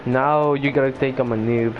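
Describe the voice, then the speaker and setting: A man's voice: mid-range voice, fairly even intonation, moderate pace, narrow, tinny audio, background noise. A man giving casual gameplay commentary, reacting in short slangy exclamations. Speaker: gamer recording gameplay. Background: loud video game music.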